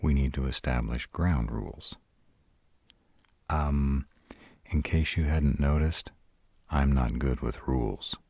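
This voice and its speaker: A man's voice: a bass voice, vocal fry, darkish tone, husky, no echo, full character voice. A man playing a sarcastic, brooding male vampire in an intimate roleplay audio drama, proud and guarded but secretly smitten. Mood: grumbling, sardonic. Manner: sardonic, exasperated, halting when vulnerable.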